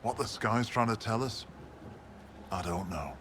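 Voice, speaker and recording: Low-voiced, gruff barbarian healer. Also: male voice, unhurried, fairly bright tone, slight background noise. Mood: gruff, solemn. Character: stoic barbarian mountain healer, gruff, devout, proud, scornful. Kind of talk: video game dialogue.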